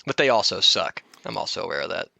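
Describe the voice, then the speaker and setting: A man's voice: sits low, big pitch swings, fast, creaky, bright, forceful tone, slight background noise. A man co-hosting a podcast in casual chat, with a jokey, laid-back way of talking. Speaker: podcast co-host.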